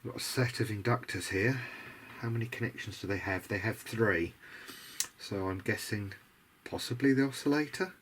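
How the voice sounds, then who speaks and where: Male hobbyist host, lowish voice, thinking out loud in an informal, tentative way while working, some background noise. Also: normal speed. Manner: musing, tentative, thinking aloud. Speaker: video diary host, electronics hobbyist. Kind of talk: casual unscripted bench commentary while working.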